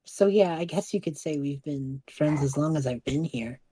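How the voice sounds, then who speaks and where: A woman voicing a young male magic student in an audio drama, earnest, awkward and self-deprecating, speaking haltingly. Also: low voice, a crowd in the background, close to an everyday voice. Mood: wry, sheepish. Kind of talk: audio drama dialogue, naturalistic.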